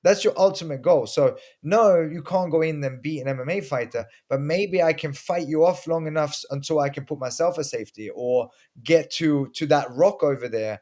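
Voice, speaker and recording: A man's voice: fairly high pitch, moderate pace, hardly any pauses, a little mellow. A middle-aged man from Sweden, a guest explaining self-defense in a casual interview with earnest stories and frequent restarts. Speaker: guest, martial arts instructor.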